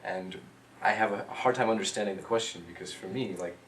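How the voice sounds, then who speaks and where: A young man answering interview questions in a relaxed way, thinking aloud with lots of ums and uhs. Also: lowish voice, brisk. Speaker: interviewee, rover systems engineer. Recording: roomy, distant mic, clear background noise.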